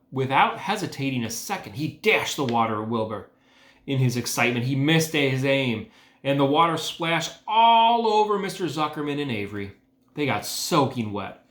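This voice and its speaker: A man reading a children's book aloud as host, with expressive, emphatic phrasing. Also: mid-range voice. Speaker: read-aloud host, educator. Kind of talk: storybook read-aloud narration. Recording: echoey room.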